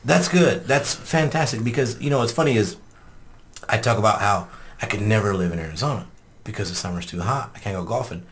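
A man's voice: lowish voice, a little fast, slight background noise. A man hosting a casual group podcast, joking and ribbing his friends, with frequent swearing. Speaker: podcast host.

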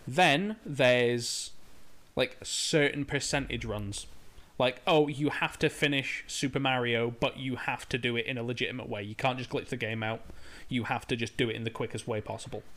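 Relaxed man explaining. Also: mid-range voice, moderate pace. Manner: relaxed, explains things at length with restarts. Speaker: podcast co-host. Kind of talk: casual chat.

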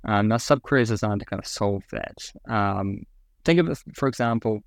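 A man's voice: lowish voice, moderate pace, creaky, dry recording, studio quality. A young man with a New Zealand accent, a podcast guest explaining a product with many ums and restarts. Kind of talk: podcast interview.

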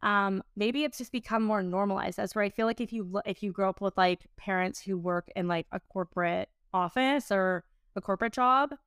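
A woman's voice: mid-range voice, brisk, hardly any pauses, fairly bright tone, clean tone, no room sound, studio quality. A woman in her late twenties talking casually as a podcast host, in an informal, rambling way sprinkled with "like". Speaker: podcast host and business owner. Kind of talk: casual conversational podcast chat.

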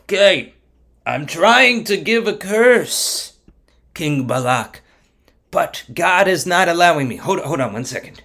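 A man playing a reluctant, greedy, easily flustered curse-maker in a told story, with an excitable, hesitant manner. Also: medium pitch, takes it slowly. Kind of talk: storybook character voice.

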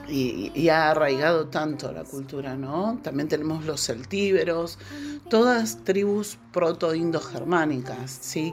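Low-voiced German-native woman hosting a podcast, heartfelt and halting, with clear music behind her. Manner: halting, frequent restarts and throat clearing; effusive, heartfelt thanks. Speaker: podcast host. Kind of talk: podcast interview.

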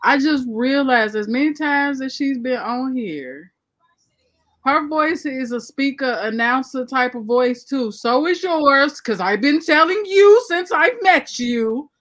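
Woman co-host, high voice with wide swings, teasing banter. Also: expressive, slow, a bit bright. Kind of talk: casual chat.

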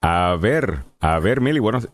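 Low-voiced man hosting a morning show. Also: big pitch swings, a little slow, loud music behind the voice. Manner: loose, hesitant, joking with the crew. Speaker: radio show host. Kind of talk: casual morning show chat.

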